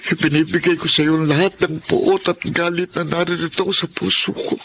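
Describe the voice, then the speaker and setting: An actor playing a grieving, exhausted husband pleading with God in an impassioned Filipino radio drama monologue. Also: male voice, a little high, fairly even intonation, gravelly, a bit bright. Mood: weary pleading. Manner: impassioned, theatrical, drawn-out pleading.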